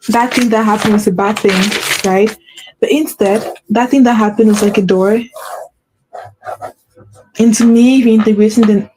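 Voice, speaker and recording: A woman with a mellow, soft-edged voice talks straight to her listeners as a spiritual coach, circling back over the same points and asking "right?" as she goes. She mentions a stutter and corrects herself often. There is a faint hiss behind her. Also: medium pitch, poor audio. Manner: earnest, repetitive, with frequent "right?" check-ins and self-corrections. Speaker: podcast host and spiritual coach. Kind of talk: solo motivational talk.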